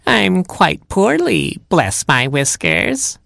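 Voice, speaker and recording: Storybook cat faking an old grandma, high-pitched, drawn-out, quavery, fussy, swooping. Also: huge pitch swings, gravelly, a bit bright, dry recording, clean studio sound, heavily acted. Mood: feeble, fussy. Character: sly cat posing as frail old grandma bird.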